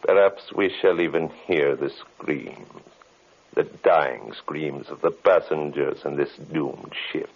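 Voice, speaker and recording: Husky, deep-voiced gloating villain. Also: male voice, exaggerated voice. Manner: theatrical, slow, taunting, commanding. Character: gloating, megalomaniac male villain, a mocking criminal mastermind. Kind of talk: 1940s radio horror acting. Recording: muffled old radio broadcast.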